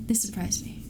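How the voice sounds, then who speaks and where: A young woman streamer chatting casually with her viewers, giggling often and restarting her sentences as she rambles. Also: on the high side. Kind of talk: casual chat with live chat. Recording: distant mic with clear background noise.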